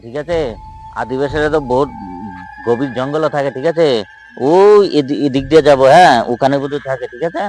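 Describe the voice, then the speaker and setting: A man's voice: mid-range voice, fairly quick, loud music behind the voice, lightly acted. A man playing an earnest, reassuring villager in an improvised comedy skit, repeating himself and constantly checking for agreement. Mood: instructing. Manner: repetitive, constantly seeking agreement. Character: earnest villager guide organizing a jinn hunt, reassuring.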